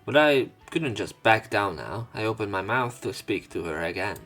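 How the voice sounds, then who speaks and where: A man with a fairly low voice narrates a visual novel as a let's play host. He reads aloud theatrically and slips in casual asides. Faint music runs underneath. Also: animated, normal speed, a bit bright.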